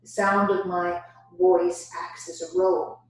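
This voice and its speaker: A woman leading a guided yoga nidra meditation as instructor, giving deliberate instructions broken by pauses. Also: lowish voice, little pitch movement. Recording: very echoey room, distant microphone.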